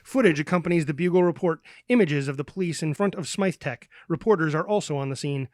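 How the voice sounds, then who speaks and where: A man's voice: a little high, quick pace, no room sound, studio quality. A man narrating a script's stage directions in a dramatic style. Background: prominent music.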